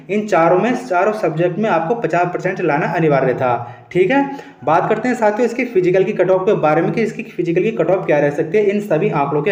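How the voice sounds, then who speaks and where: A man's voice: high-pitched, a little mellow. A man presenting an exam update as a coaching host, explaining in a repetitive way and often addressing his listeners directly. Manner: repeatedly addresses listeners as friends, repeats points for emphasis. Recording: dull, lo-fi, echoey room recording.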